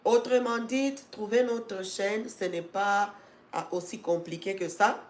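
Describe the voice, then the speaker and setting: A woman's voice: mid-range voice, a little slow. Congested woman hosting a live stream. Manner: talks with many fillers and restarts, grows emphatic and urging. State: congested with a cold. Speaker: host of an educational channel. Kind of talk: live-stream talk to viewers. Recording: echoey room.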